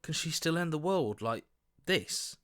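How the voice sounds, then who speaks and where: Earnest, questioning game hero. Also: male voice, on the high side, animated, a little slow, fairly bright tone, no room sound, studio quality. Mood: troubled, conflicted. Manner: conversational, questioning, exclamatory. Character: earnest, questioning young hero's inner voice, anxious and decent. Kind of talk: video game character voice acting.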